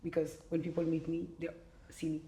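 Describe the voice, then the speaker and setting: A frank young woman from Belgium, speaks English in a slightly low voice. She tells her story in an animated way, full of "like"s and restarts. The room around her rings with a noticeable echo. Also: fairly quick, slight background noise. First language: French. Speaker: podcast guest. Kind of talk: casual conversation. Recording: roomy echo.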